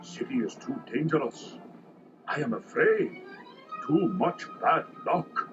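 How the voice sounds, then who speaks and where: A timid, friendly desert guide with a foreign accent and slow, halting broken English. His highish voice is dramatic and superstitious, in a children's storybook on muffled tape over music. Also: big pitch swings, fairly bright tone, heavily acted. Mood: fearful. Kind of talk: children's audio storybook acting. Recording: dull lo-fi tape, music behind.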